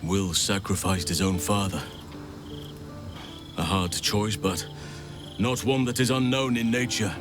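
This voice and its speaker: An actor playing a grave, philosophical nature sage in a video game, measured and sombre. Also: male voice, on the low side, steady tone, lightly acted. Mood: grave, reflective. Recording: music behind the voice.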